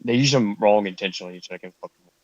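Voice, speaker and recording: A man's voice: lowish voice, very fast, a little mellow, dull audio. A man in his thirties arguing casually in a group debate, blunt and questioning. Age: 30s. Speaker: debate participant.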